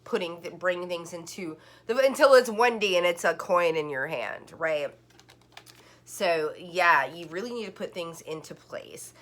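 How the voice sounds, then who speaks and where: A woman's voice: fairly low pitch, unhurried, fairly bright tone. A woman giving a casual online tarot reading, rambling and full of "like" fillers and restarts. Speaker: tarot card reader.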